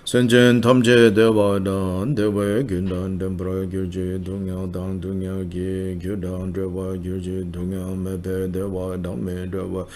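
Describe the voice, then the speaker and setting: A man leading a slow, steady Tibetan liturgical chant. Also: deep voice. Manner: slow, steady, monotone recitation. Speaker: chant leader.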